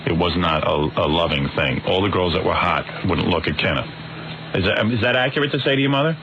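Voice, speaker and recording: A man's voice: low voice, lively intonation, fairly quick, noisy background. A man hosting a radio talk show, teasing his guest with mocking, prodding questions. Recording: muffled old radio broadcast.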